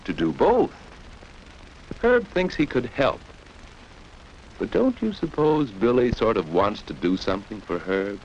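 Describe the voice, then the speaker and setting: A man's voice: on the low side, very animated, a little slow, long pauses, a little mellow. A man narrating a 1940s educational film for children in a didactic, storytelling way, posing questions to young listeners. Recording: dull, noisy 1940s film soundtrack.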